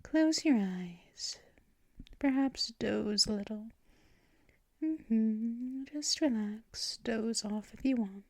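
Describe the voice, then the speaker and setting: A doting, possessive vampire mistress, played by a woman, murmurs at a very slow, unhurried pace, often slipping into hushed whispers and soft shushing. Her voice sits a little high and is caught up close and dry, with no room around it, in the manner of an ASMR roleplay. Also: no echo, full character voice. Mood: soothing. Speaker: ASMR performer.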